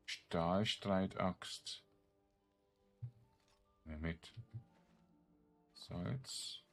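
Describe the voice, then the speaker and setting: Deep-voiced man commentating a game. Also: drawn-out words. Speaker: gamer, let's play host. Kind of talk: casual let's play commentary. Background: game music.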